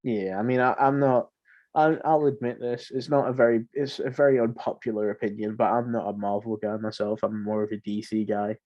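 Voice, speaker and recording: A man's voice: fairly low pitch, fairly even intonation, a little fast, dark tone, muffled recording. A man in casual podcast conversation about entertainment, speaking informally and giving his opinions freely. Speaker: podcast host. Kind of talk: casual film podcast chat.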